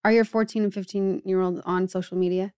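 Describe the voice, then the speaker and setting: A woman's voice: mid-range voice, level tone, a little fast, a little mellow, clear, resonant tone, dry recording. Chatty woman interviewer, dry sound. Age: adult parent of a young child. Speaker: interview co-host. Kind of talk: casual interview chat.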